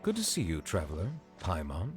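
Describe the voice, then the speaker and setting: A man playing a dignified, courteous gentleman in a video game, speaking formally. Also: low-pitched, wildly swooping pitch, fairly quick. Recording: music playing behind the voice.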